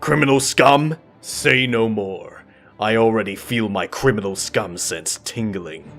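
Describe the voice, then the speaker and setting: A man's voice: on the low side, a little slow, vocal fry, fairly bright tone, soft background music. A man voicing a stern, zealous armored legionnaire guard with declamatory pronouncements in a comic fantasy story. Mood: eager, dutiful.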